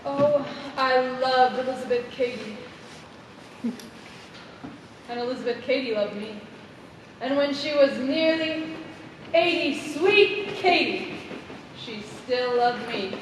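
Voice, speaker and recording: A woman's voice: high voice, takes it slowly, pauses often. Actress as a grandiose literary lady, echoey theater. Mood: dreamy. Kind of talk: theatrical stage acting. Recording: echoey theater hall, far mic, faint noise.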